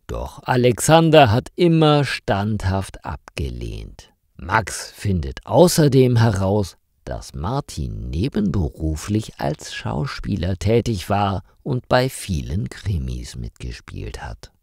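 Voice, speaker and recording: A man narrating a detective story slowly and clearly for language learners, with dramatic pauses. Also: on the low side, huge pitch swings, a little mellow, no echo, clean studio sound. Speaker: narrator of a German learning channel.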